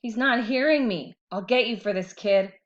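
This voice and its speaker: A woman's voice: on the high side, moderate pace, muffled recording, natural voice. A woman voicing a bratty, taunting talking tooth in a storybook read-aloud. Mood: threatening. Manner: taunting, shrieking in panic.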